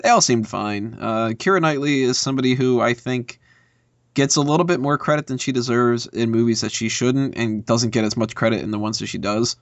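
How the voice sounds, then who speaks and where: A man's voice: medium pitch, fairly quick, no echo, dull audio. A man chatting casually and bluntly as a movie podcast panelist, giving his opinions.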